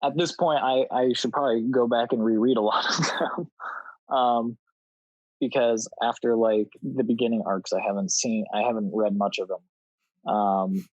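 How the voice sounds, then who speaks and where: A young man of twenty talks casually as a podcast guest. His voice sits at a middle pitch, and he is lightly self-deprecating as he tells his story. The sound is dry, with little room to it. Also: fairly even intonation, measured pace, no echo, dull audio. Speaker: podcast guest, audio drama writer. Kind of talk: casual chat, anecdote.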